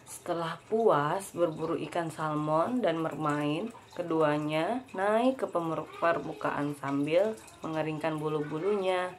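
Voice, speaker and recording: A woman's voice: low-pitched, measured pace. Woman reading a storybook aloud casually. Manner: adds chatty asides to the child. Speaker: parent reading to a child.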